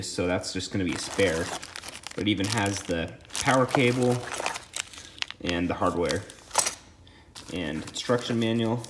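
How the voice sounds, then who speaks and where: A man with a low voice walks through a hands-on job in a plain, matter-of-fact way. His delivery is casual, like someone hosting a DIY video. Clear clicking and clattering noise sits around his speech. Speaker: host of a DIY install video. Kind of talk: casual how-to narration.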